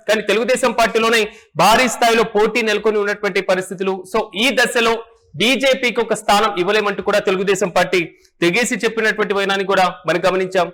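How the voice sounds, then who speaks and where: Guy doing news analysis, pretty high voice, talks really fast, formal wording that loops back on itself. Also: steady tone, a bit bright. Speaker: news presenter.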